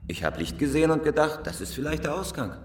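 A man's voice: a little high, very animated, quick pace, background noise. An actor playing a hardened male professional robber in a crime radio drama, terse and unruffled. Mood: feigned confusion. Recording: roomy, distant from the mic.

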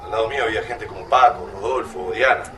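An actor with an Argentine accent playing a weary, blunt, homesick resistance fighter in a radio drama. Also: male voice, fairly low pitch, fast, lightly acted. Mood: frank. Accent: Argentine Rioplatense. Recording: noisy, distant radio drama mix.

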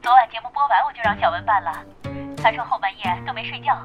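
Actress as a fast-talking doting mother. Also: a little high, highs cut off, faint music behind, natural voice. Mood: breezy, pleased. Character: doting, brisk mother of a young idol. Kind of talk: audio drama acting.

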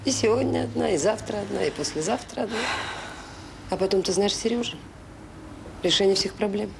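A middle-aged woman in a Soviet radio drama, restless and insistent, as if talking herself into things. Her voice has a creak to it, and clear noise runs through the old recording. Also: medium pitch, a little fast. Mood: wistful, resolved.

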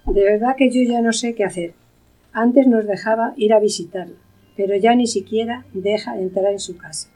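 Lady acting a worried tired daughter, soft dark voice a bit off the mic. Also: medium pitch, normal speed, recorded from a distance, close to an everyday voice, rough recording. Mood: worried, exasperated. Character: worried, weary adult daughter and young mother. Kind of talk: amateur radio play acting.

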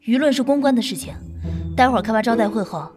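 A woman's voice: on the high side, fast talker, over music, lightly acted. A woman acting a strict, exasperated celebrity manager in an audio drama, firm, admonishing and businesslike. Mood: stern.

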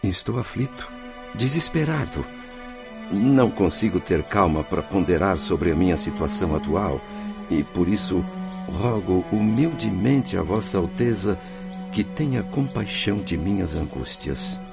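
A man's voice: sits low, expressive, unhurried, creaky, full character voice. An actor in a radio drama playing an anguished spirit who formally and emotionally implores, longing for his family. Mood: desperate. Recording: music behind the voice.

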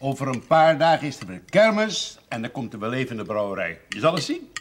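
Actor as gruff, stubborn father, swinging pitch. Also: sing-song, a little fast, lightly acted. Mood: irritated. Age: middle-aged. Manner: blunt, scolding, blustering. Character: gruff, stubborn, proud brewer father of grown daughter. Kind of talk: television period drama acting. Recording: noisy old television soundtrack.

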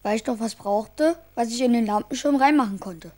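A woman's voice: on the high side, fairly quick, warm tone, lightly acted. A woman playing an imaginative, secretive and stubborn little schoolboy in a children's radio play, cheeky and musing in manner. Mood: hesitant, cheeky.

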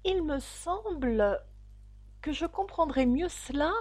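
A woman's voice: sits high, expressive, slow, darkish tone, no room sound, voice pushed up. A woman voicing a polite, curious little girl who answers earnestly, for a storybook reading.

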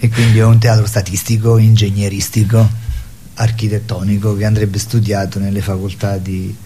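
A man's voice: on the low side, little pitch movement, moderate pace, warm tone. Man chatting over background noise. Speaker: theatre performer and guest. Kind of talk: casual interview talk.

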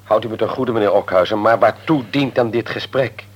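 A man's voice: lowish voice, fairly quick, breathy edge, dull audio. An actor playing a formal, indignant male company secretary in a radio play, stiffly polite in manner. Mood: affronted, polite. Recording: old radio play recording.

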